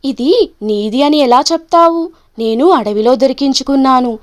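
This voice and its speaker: A woman's voice: high voice, normal speed, close to an everyday voice. A woman voicing a kind, honest monkey in a children's storybook, speaking simply and earnestly. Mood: questioning.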